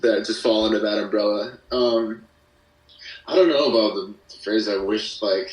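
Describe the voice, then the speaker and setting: Man, lowish pitch, roomy echo, casual podcast guest, slangy, rambling. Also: moderate pace. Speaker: podcast guest, musician and audio drama creator. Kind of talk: casual chat interview. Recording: roomy echo, distant from mic.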